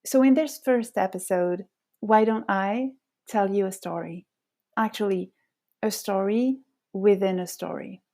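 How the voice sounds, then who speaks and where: A woman in her thirties from France, a native French speaker with a French accent, hosting a podcast with measured, scripted personal narration. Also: mid-range voice, dark tone. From France, Paris suburbs. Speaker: podcast host.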